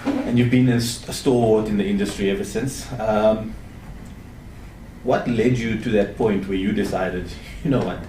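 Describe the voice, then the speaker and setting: A man's voice: on the low side, fairly even intonation, normal speed. A man from South Africa hosting a casual interview in an office, jokey and playful. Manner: jokey, playful, laughs often. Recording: echoey room, mic far off, light noise.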